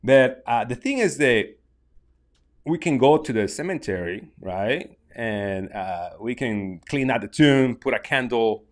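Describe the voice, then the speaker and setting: A man in his thirties from Mexico with a Mexican Spanish accent, talking casually to camera in a small home studio, halting with many restarts. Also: medium pitch, lively intonation. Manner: halting, many restarts and fillers, gestures with hands. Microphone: large studio mic on desk.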